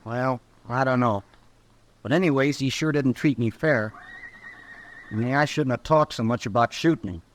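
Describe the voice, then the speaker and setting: A man's voice: medium pitch, normal speed, pauses often, a little hiss or hum, lightly acted. An actor playing a plain-spoken frontier townsman in an old-time radio western, with a rural American West drawl and a colloquial, earnest manner. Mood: sullen.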